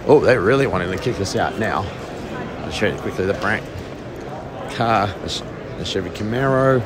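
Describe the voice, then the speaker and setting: A man's voice: lowish voice, expressive, normal speed. A man with an Australian accent, casually narrating a walking tour of a trade show, chatty and offhand as he points things out. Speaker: vlogger touring a trade show. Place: large indoor convention hall, trade show floor. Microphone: handheld phone camera, filming as he walks. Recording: noisy convention hall on a phone.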